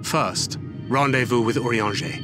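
A man's voice: medium pitch, moderate pace, natural voice. Man playing a stern, weary warrior over music. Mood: grave, measured. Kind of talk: dramatic video game voice acting. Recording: music behind the voice.

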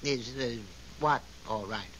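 An actor playing a weary, godlike overseer of the stars in a 1970s radio drama, scolding and long-suffering in a theatrical way. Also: male voice, medium pitch, slow pace, fairly bright tone, rough recording. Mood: exasperated, lofty. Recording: hissy 1970s radio broadcast recording.

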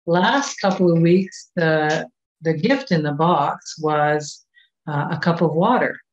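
Slow, gentle woman leading a children's talk. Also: deep voice, slight background noise. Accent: Canadian. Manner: gentle, unhurried, coaxing questions to children. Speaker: children's time leader. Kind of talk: children's story at a church service. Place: small office at home. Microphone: webcam on a video call. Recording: dull, muffled webcam sound.

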